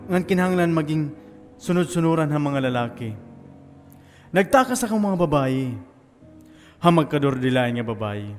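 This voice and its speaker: In an echoing hall with faint music, an actor plays a remorseful philandering husband with a fairly high male voice and an Eastern Visayan Filipino accent. He confesses as if on a stage in an amateur play. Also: darkish tone, close to an everyday voice. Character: guilt-ridden philandering husband confessing his illness. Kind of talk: amateur one-act stage play acting.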